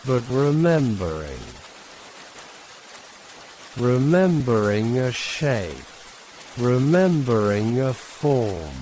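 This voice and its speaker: A man narrating a sleep story in a calm, hushed, soothing manner, leaving long pauses between short phrases. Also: mid-range voice, poor audio. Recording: steady rain and hiss behind voice. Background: rain.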